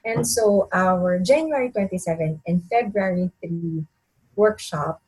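A woman's voice: fairly low pitch, unhurried, a little mellow, slightly rough, low-quality recording. A woman, telling her startup story as a podcast guest with upbeat enthusiasm and frequent repeats. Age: 30s. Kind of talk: casual interview storytelling.

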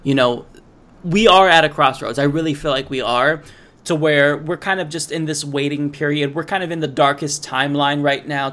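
Hoarse young man hosting a podcast. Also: fairly high pitch, a bit bright. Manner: earnest, rambling, laid-back. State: hoarse, losing his voice. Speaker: podcast host. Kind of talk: casual political interview.

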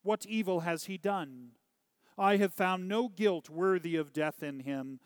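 A man voicing a troubled, pleading Roman governor in a dramatized scripture story, declamatory and deliberate. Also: high-pitched, smooth, dry recording, big-room sound, voice pushed up. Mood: pleading, insistent. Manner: emphatic, deliberate, declamatory questioning.

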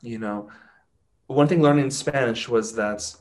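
A man of middle pitch talks freely in a relaxed conversation. He keeps going for long stretches and peppers his talk with "you know" and "like". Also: unhurried. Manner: chatty, many "you know" fillers. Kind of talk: casual chat.

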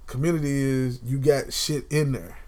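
A man's voice: medium pitch, fairly even intonation, moderate pace, darkish tone. A young man in casual conversation, talking emphatically and repeating phrases to drive a point home. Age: young adult.

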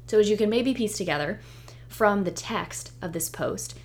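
Woman, young adult, solo podcast host; voice a bit hoarse, upbeat and encouraging delivery. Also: mid-range voice, expressive, moderate pace. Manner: chatty, upbeat and reassuring. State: hoarse, nearly losing her voice. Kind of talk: solo podcast intro monologue.

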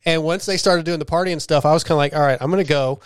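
A man hosts a podcast in a chatty, self-deprecating way and laughs often. His voice is smooth and slightly high. The sound is dry and close. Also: racing, no room sound. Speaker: podcast host. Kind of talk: casual podcast conversation.

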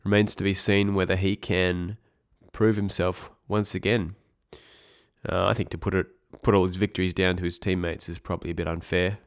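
Young male podcast host, very deep voice, nasal from a cold, loose rambling delivery with wry self-corrections. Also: little pitch movement, normal speed. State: congested with a cold. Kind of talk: casual esports news podcast.

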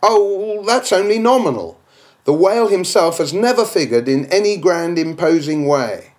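Man acting out a scornful male debater, raised pitch, dismissive, objecting, storybook narration style. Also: animated, moderate pace, darkish tone. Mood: scornful objection.

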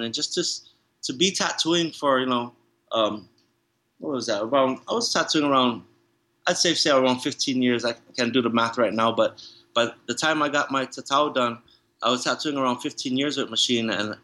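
A man with a bright, forward voice talks in a laid-back, rambling way, circling back on his words with lots of restarts and "you know, bro." He sounds like a tattoo artist chatting about his craft in a casual interview. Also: mid-range voice. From Hawaii, raised in San Diego.

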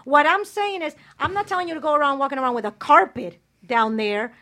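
A woman hosting a radio talk show, brash, loud and profane in casual chat. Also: high voice, fairly quick.

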